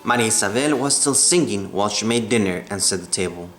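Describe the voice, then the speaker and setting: A man reading a children's book aloud in a measured way, stumbling now and then. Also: fairly low pitch, expressive, fairly bright tone. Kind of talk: storybook read-aloud. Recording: roomy echo, music behind the voice.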